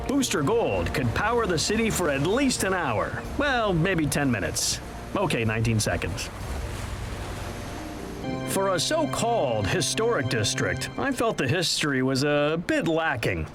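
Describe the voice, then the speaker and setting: Vain superhero showman for a game, cocky tour-guide patter, lively melodic delivery, over music. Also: on the high side. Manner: showy, cocky, smugly self-promoting. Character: vain, boastful male superhero tour guide, self-promoting. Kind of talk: promotional tour-guide narration for a video game.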